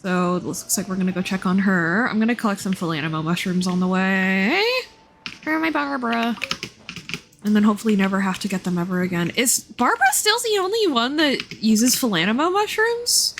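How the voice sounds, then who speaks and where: A woman's voice: medium pitch, lively intonation, a little fast, slight background noise. A woman live-streaming a game with casual commentary, reacting to the dialogue with playful, sarcastic asides. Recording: game music behind the voice. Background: game music.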